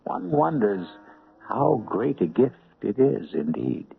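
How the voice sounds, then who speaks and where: A middle-aged man hosting a radio drama, narrating in a measured, wry, reflective way. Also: mid-range voice, animated, gravelly. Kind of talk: scripted narration. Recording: tinny old radio broadcast with music.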